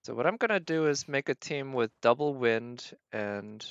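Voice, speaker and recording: Casting: male game streamer, medium pitch, casual running commentary, thinks aloud, very dry recording. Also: animated, moderate pace, vocal fry, a bit bright, smooth, dry recording, muffled recording. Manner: thinking aloud, narrating own decisions. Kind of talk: casual live gameplay commentary.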